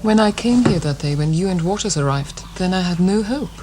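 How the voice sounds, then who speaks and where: Woman voicing a lonely german war widow, german accent, quiet and sorrowful, radio play, hissy background. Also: lowish voice, animated, fairly quick, natural voice.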